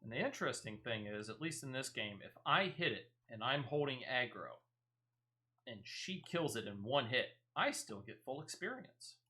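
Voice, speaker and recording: A man with a bright, forward voice at a middling pitch commentates casually on a video game. He talks through each move as he makes it and explains tips for viewers step by step, like a streamer guiding an audience. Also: lively intonation, moderate pace. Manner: explains step by step, talking through own actions.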